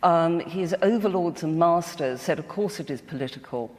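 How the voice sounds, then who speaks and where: A woman's voice: on the low side, moderate pace, darkish tone. Big echoey hall, woman guest on a book panel, kinda emphatic and opinionated. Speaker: panel guest discussing a novel. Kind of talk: literary panel discussion. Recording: echoey hall with long reverb.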